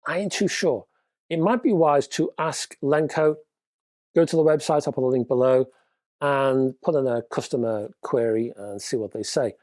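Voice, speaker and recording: Male host, mid-pitched, casual chatty tech-news delivery, dry close sound. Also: moderate pace, darkish tone, no echo. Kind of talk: hi-fi news roundup.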